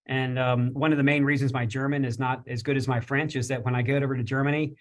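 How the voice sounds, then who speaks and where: A man's voice: medium pitch, flat delivery, quick pace. A man speaking on a career panel in a loose, rambling way, restarting and repeating words often. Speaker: panelist from a business chamber. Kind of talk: casual panel discussion.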